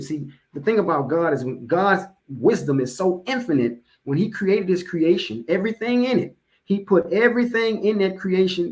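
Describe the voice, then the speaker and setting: A man's voice: a little high, a little mellow, low-quality recording. An American man giving an informal motivational sermon, repeating key phrases for emphasis and checking in with his listeners conversationally. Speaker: religious speaker giving a public address.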